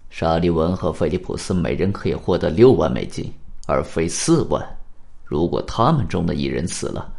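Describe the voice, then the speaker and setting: A man playing a calm, shrewd detective in a radio drama, speaking formally in a measured, deliberate way. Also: low-pitched, gravelly. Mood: measured, probing. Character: calm, shrewd male detective, probing and cautioning.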